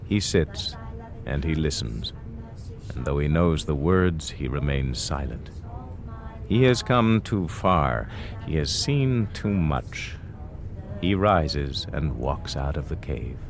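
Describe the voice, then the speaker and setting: A man narrating a radio drama as a solemn, poetic storyteller with a lyrical, deliberate delivery. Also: rumbling low, animated, creaky, a crowd in the background, heavily acted. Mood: somber, hushed.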